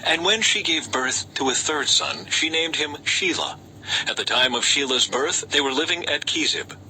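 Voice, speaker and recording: A man reads a Bible story as scripted narration, in a measured storytelling way with lightly dramatized dialogue. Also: on the high side, expressive, pushed and bright, rough recording. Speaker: audiobook-style scripture reader. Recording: faint background noise.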